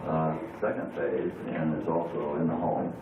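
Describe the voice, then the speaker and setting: A man of seventy-two reminiscing in a measured, halting way for a family oral history recording. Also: extremely low pitch, steady tone. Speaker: family member recalling childhood memories. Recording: tinny, very echoey, distant, noisy home recording.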